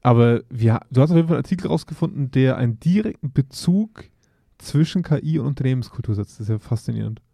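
Gravelly man making dry remarks. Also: mid-range voice, expressive, fast talker, mellow, no room sound. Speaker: podcast co-host. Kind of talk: casual chat. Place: office.

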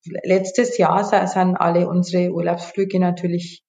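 A woman's voice: lowish voice, flat delivery, measured pace, gravelly, mellow. A woman, talking as a guest in a casual interview in a chatty, informal way full of side remarks. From Bavaria, Germany. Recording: echoey room.

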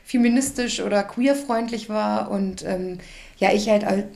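A woman's voice: mid-range voice, steady tone, a little slow, gravelly, a little mellow. A woman born in Australia and raised in Germany, telling an emphatic story with many fillers in a casual chat.

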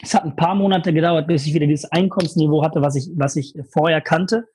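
A young man telling a lively personal story in a casual interview, full of fillers and emphasis. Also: fairly high pitch, brisk, husky. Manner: energetic, animated storytelling with many fillers. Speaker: guest, former mastermind participant.

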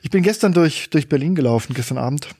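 A man's voice: on the high side, lively intonation, fast talker, dark tone, husky, no room sound, studio quality. A man co-hosting a casual podcast, joking and laughing often as he talks. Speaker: podcast co-host. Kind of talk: casual chat. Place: Berlin.

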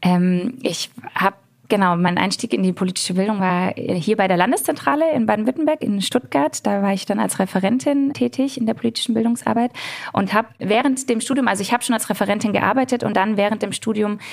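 A young woman speaks as an interview guest at a medium pitch. She explains things in a reflective, conversational way, sometimes phrasing points as questions. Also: a little fast, gravelly. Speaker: interview guest, political educator.

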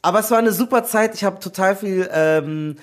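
A man from Nigeria, a guest telling lively stories in casual chat, laughing often as he talks. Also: high-pitched, moderate pace.

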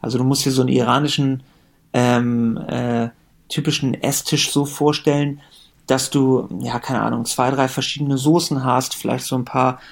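A man's voice: mid-range voice, flat delivery, moderate pace, vocal fry. A man in his late thirties from Germany, a company co-founder talking casually in a podcast interview, with many fillers and restarts. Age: 30s. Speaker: company co-founder and managing director.

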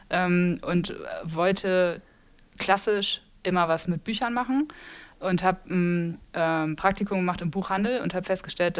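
Eine Frau aus Norddeutschland um die dreißig, eine Bibliothekarin, die locker und mit vielen Füllwörtern im Podcast erzählt. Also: female voice, fairly low pitch. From northern Germany. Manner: hesitant, many fillers. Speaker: librarian. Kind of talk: casual podcast chat.

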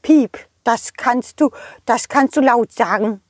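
A woman voicing a small, excitable male bird who peeps and stammers in a children's audio play. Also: fairly high pitch, slow pace, no room sound, studio quality. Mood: cheerful. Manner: chirpy, interjects peeps and stammers.

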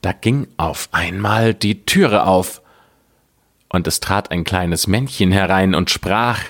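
A man narrating a scripted fairy tale as a storyteller, in a measured, deliberate way. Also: low-pitched, a bit bright.

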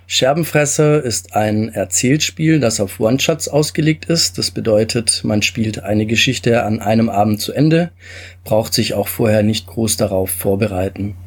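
Husky-voiced man explaining his game. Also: on the low side, normal speed. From Italy. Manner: calm, explanatory, with frequent fillers. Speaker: game designer, guest.

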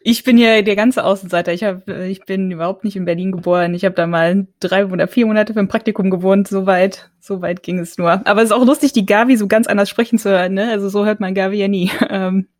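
A woman's voice: medium pitch, steady tone, fast talker, few pauses, darkish tone, no room sound, studio quality. A woman co-hosting a podcast, friendly and curious, asking follow-up questions in casual chat. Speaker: podcast co-host.

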